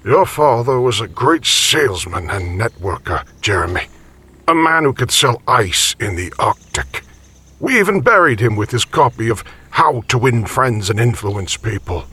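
A man voicing a jovial, teasing elderly grandfather from the country in an audiobook story, with a raspy, folksy delivery. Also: mid-range voice, moderate pace. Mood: fond, wry. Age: older. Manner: animated, folksy, encouraging.

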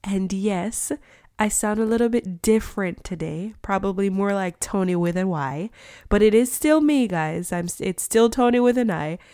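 A woman's voice: mid-range voice, mellow, no echo, clean studio sound. A woman hosting a faith podcast, congested from a cold, leading an earnest prayer and reading scripture aloud. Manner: earnest, slow and deliberate. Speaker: podcast host.

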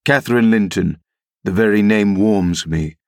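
Menacing middle-aged gentleman for an audiobook, read by a man: commanding, sly, lowish pitch. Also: a little slow, no echo, studio quality. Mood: wheedling. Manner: mocking, commanding, insinuating. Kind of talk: audiobook character reading.

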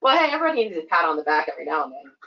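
A woman with a notably deep voice speaks as a podcast guest. She is chatty and loose, full of fillers and quick laughs. Her voice sounds somewhat muffled and lo-fi. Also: big pitch swings, vocal fry, background noise. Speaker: guest, skating association staff. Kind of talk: casual podcast interview.

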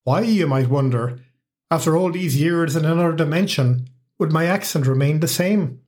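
An Irish man in his forties narrates his own story as host of a podcast. He reads from a script with a wry, humorous edge. His voice sits somewhat high for a man. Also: moderate pace, a little mellow.

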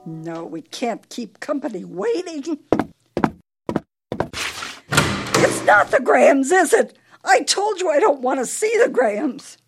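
A woman's voice: sits high, very animated, slow, long pauses, soft background music, exaggerated voice. A woman playing an imperious, brittle older wife with a failing memory in a radio play, her delivery theatrical and needling. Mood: flustered, anxious.

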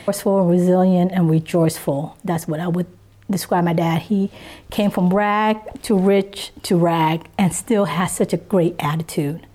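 A woman's voice: fairly low pitch, fairly even intonation, dark tone. A woman in her fifties, born in Vietnam with Vietnamese as her first language, speaking in a Southern US accent, earnestly recalling her life in an interview. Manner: earnest, unhurried, with pauses. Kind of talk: reflective personal testimony.

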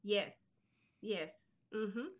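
A young woman whose first language is Spanish, talking earnestly in halting English with fillers as an interview guest. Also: mid-range voice. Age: young adult. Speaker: guest, life insurance agent. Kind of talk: casual interview chat.

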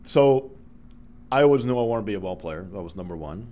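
A middle-aged man from the Dominican Republic whose first language is Spanish, a broadcaster telling lively stories as a guest in an interview. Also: fairly low pitch, brisk. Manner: animated storyteller, acts out quoted voices. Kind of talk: storytelling interview.

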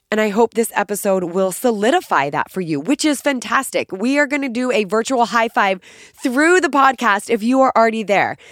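A woman podcast host and fitness coach with a naturally raspy voice, made more nasal and raspy by being sick, talking in an upbeat, emphatic, encouraging solo monologue. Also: medium pitch, dry recording, clean studio sound.

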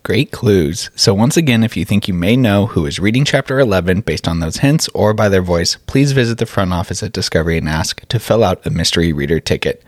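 A man's voice: low voice, expressive, brisk, hardly any pauses, no echo, studio quality. A man hosting a podcast with an upbeat, scripted introduction. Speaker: podcast host.

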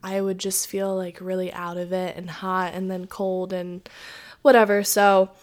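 A woman hosting her own podcast in a casual, rambling chat, her voice raspy from a sore throat, with lots of "like" and "um". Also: on the low side, little pitch movement, unhurried. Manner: chatty, rambling, many "like"s and "um"s. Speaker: podcast host. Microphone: podcast microphone.

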